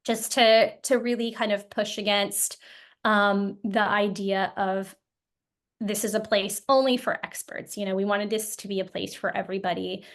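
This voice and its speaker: Woman guest, mid-pitched voice, thoughtful and hesitant, lots of ums and restarts. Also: steady tone, moderate pace. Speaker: guest, founder of an occupational therapy group. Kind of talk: casual interview talk.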